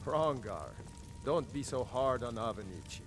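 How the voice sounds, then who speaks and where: An actor playing a dignified, commanding Nord jarl, stern but gracious, in a fantasy video game. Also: male voice, mid-range voice, animated, a little slow, lightly acted. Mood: firm. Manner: stately, authoritative. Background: music.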